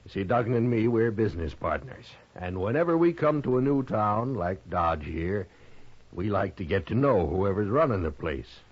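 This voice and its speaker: A wheedling, crooked American gambler. His voice is low and husky and swings widely in pitch as he persuades. It is 1950s radio western acting on a muffled old recording. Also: animated, normal speed. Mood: ingratiating. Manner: smooth-talking, persuasive.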